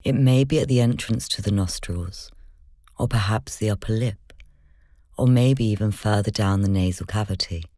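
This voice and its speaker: A meditation guide leading a guided session in slow, measured, gentle instruction, soft-spoken with whispery moments. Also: medium pitch, dry recording, clean studio sound.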